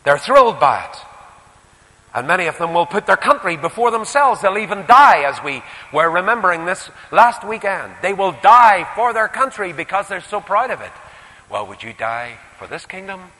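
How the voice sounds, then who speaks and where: Northern Irish preacher, swooping and emphatic. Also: male voice, high-pitched, sing-song, moderate pace. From Northern Ireland. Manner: rhetorical questions, emphatic rises falling to hushed asides. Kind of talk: sermon. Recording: echoey hall with long reverb.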